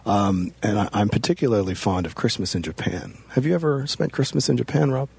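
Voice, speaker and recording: A man in a deadpan comedy chat, playing a pompous professor who rambles with mock authority. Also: fairly low pitch, fairly quick, vocal fry, slightly rough.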